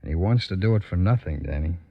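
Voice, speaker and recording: A man acting in an old-time radio drama as a patient, fatherly lawman, gentle and persuasive. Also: deep voice, expressive, fast talker. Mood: gentle persuasion. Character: patient fatherly lawman counselling a troubled youth. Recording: muffled old radio recording.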